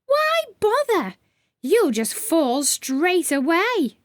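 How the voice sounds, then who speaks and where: A woman's voice: very high voice, huge pitch swings, drawn-out words, no echo, voice pushed up, heavily acted. A woman voicing a grumpy, mocking little creature who taunts and discourages in a children's storybook. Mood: teasing. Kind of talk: children's storybook read-aloud.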